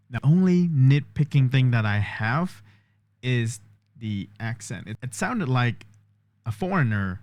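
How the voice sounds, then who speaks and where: A man's voice: fairly low pitch, a little mellow, dry recording. A man vlogging a test of an AI voice assistant, explaining step by step and thinking aloud. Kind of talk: casual tech demo commentary.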